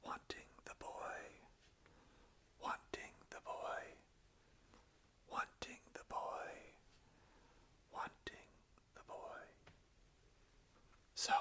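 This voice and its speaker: An ASMR host speaks entirely in a breathy whisper. The delivery is slow and hushed, with a crisp, bright edge to the airy sound. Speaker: ASMR host reading song lyrics aloud. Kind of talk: whispered ASMR, reading and casual chat.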